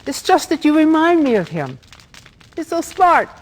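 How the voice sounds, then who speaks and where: A woman's voice: sits high, sing-song, normal speed. A woman playing a proud, sorrowful middle-aged mother who trades weapons in a fantasy video game, speaking in a formal, theatrical way. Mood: wistful, pained. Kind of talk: dramatic video game voice acting. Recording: faint background noise, clicks.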